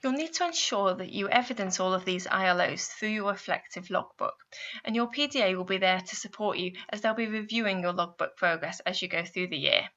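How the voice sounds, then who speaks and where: A woman delivers scripted instructional narration in a bright, forward voice at a medium pitch. She explains things methodically and addresses the listener directly. The recording sounds somewhat dull and lo-fi. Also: expressive, a little fast. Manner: clear, explanatory, addressing the listener with guiding questions. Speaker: university placement adviser narrating a guidance video.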